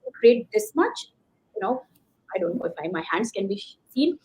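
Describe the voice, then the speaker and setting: A woman's voice: medium pitch, very animated, brisk, mellow. A woman giving emphatic, conversational motivational advice as a coach being interviewed. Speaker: career coach, interview guest. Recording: slightly dull lo-fi call audio.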